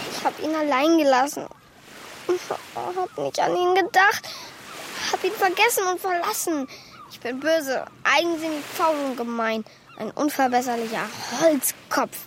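A woman's voice: sits high, a little slow, a little hiss or hum. A woman playing a naive, earnest wooden puppet boy in a children's radio play, precocious and pedantic. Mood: remorseful.

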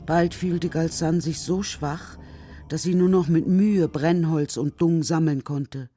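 A woman narrating a children's radio play in a measured, dramatic storytelling manner. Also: sits low, fairly even intonation, dark tone, faint music behind. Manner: measured, dramatic literary reading.

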